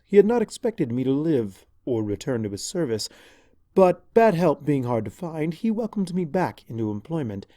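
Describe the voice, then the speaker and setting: Man reading as lovestruck villain's henchman, mellow tone, dry close sound, deliberate dramatic storybook narration. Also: mid-range voice, no room sound, lightly acted. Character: lovestruck villain's pony henchman recounting his past. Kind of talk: first-person scripted story narration.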